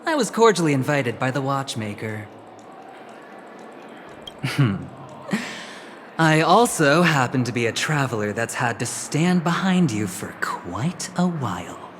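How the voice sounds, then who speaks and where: A man's voice: mid-range voice, sing-song, a little slow, long pauses, a bit bright, slight background noise. An actor playing a smug, flamboyant young businessman in a video game, with a suave, teasing, theatrical delivery. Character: smug, flamboyant young male corporate gambler. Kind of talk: scripted video game dialogue. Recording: music behind the voice.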